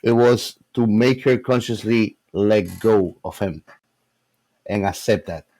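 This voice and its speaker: A man's voice: lowish voice, slow, mellow, poor audio. A man co-hosting a casual movie discussion, talking in a slangy, emphatic way. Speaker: podcast co-host.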